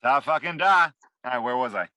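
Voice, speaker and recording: A man's voice: mid-range voice, expressive, a little fast, bright, forceful tone, no room sound. A man acting a frantic, self-absorbed and muddled boss who rambles and repeats himself in a comedy table read. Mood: distracted, bossy. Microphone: video call. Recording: dry close video-call audio.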